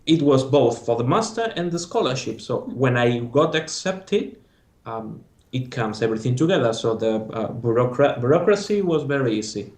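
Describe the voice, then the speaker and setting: A young man with a Spanish accent recounts his studies in a relaxed interview. He talks haltingly, restarting phrases as he reaches for words. His mid-range voice rings in an echoey room. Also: medium pitch. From Spain. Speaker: master's graduate. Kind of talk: casual interview.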